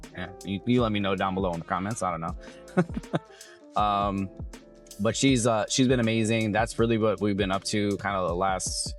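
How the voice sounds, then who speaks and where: Man, hoarse, raspy, very deep voice, rambling casual vlog chat. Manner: rambling, loses his train of thought. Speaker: vlogger.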